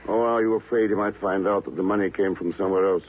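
An actor playing a cool, wry secret agent with an unruffled, dry manner in a 1950s radio drama. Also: male voice, deep voice, fairly even intonation, fast talker, slightly rough. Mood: probing. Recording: tinny old radio, faint hiss.

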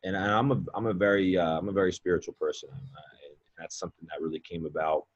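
Man, late twenties, very low voice, husky, casual rambling talk, repeats himself. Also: steady tone, quick pace, highs cut off. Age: 20s. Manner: rambling, repeats words, many fillers. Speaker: guest, mindset coach. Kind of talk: casual podcast conversation.

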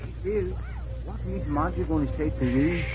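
Actor as a simple deckhand in broken English. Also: male voice, fairly high pitch, normal speed, natural voice. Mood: puzzled. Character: simple, good-natured foreign deckhand sidekick. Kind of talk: 1940s radio acting. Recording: muffled, hissy 1940s radio recording.

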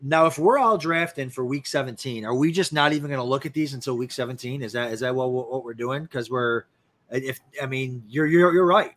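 Informal man, mid-pitched. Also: a little fast, a bit bright. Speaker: fantasy football show co-host. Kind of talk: casual chat.

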